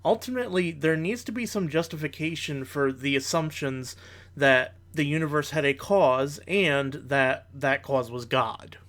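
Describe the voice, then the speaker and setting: A man giving argumentative reaction commentary in a measured, analytical way with formal wording. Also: fairly high pitch, a bit bright.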